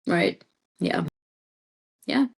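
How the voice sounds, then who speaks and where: A woman whose first language is Russian, chatting casually and informally as a real estate agent being interviewed. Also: very slow.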